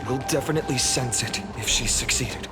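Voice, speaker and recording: A man plays a resolute young game hero with a mid-range voice. He speaks in an earnest, formal and righteous way, with music clearly behind him. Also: medium pitch, a little fast, lightly acted. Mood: urgent resolve. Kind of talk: dramatic video game acting.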